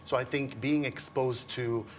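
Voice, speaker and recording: A man's voice: medium pitch, a little slow. Chatty designer man, Arabic native. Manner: relaxed, chatty, laughs often. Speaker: fashion designer guest. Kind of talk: morning TV interview. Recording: TV studio with faint background noise.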